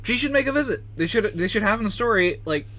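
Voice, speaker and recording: A man's voice: high-pitched, expressive, fast, fairly bright tone. A man giving offhand, joking live commentary as he streams a video game. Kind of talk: casual live gameplay commentary.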